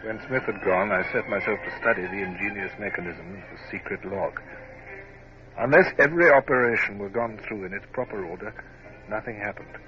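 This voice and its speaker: Naval officer memoirist, low register, formal, literary and reflective, dull noisy old radio-drama sound. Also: male voice, wildly swooping pitch, fairly quick. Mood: measured, reflective. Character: reflective, scrupulous young male Royal Navy officer narrating memoirs. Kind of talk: dramatic first-person radio drama narration.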